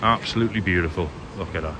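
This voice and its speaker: A man's voice: low voice, racing. Chatty man vlogging on windy promenade. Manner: chatty, upbeat, addresses viewers directly. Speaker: travel vlogger. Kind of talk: casual walking vlog narration. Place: outdoors on a seaside promenade. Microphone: handheld phone camera. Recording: loud wind and traffic noise outdoors.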